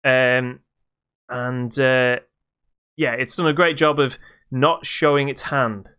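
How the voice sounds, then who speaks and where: A man co-hosting a podcast, casually reviewing a video game in a halting but emphatic way. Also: mid-range voice, little pitch movement, sharp tone, clean tone. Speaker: podcast co-host.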